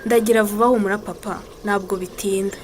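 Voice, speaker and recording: A woman acting as a doting schoolgirl daughter speaks quickly and insistently. She coaxes her father as in a radio play, with faint background noise. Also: mid-range voice, fairly even intonation, lightly acted. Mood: coaxing. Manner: insistent, coaxing. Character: eager, doting schoolgirl daughter who cooks.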